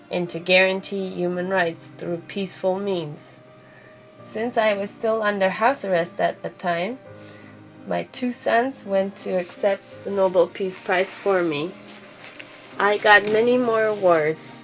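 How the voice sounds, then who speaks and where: A woman narrates a biography in the first person, reading from a script. Her voice sits at a medium pitch, and she tells it in a measured, plainly phrased way. Music plays behind her. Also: low-quality recording. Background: background music.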